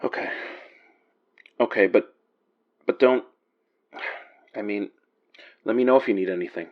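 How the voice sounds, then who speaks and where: A man playing a concerned, protective friend in an audio drama, soothing and a little halting. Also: on the low side, highs cut off, natural voice. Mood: worried, hesitant. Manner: soothing, halting when worried.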